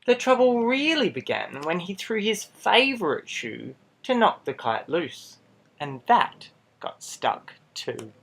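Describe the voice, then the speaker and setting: A man reading a children's picture book aloud in an animated style, with dramatic pauses and playful asides to young listeners. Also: high-pitched, vocal fry. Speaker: storybook reader.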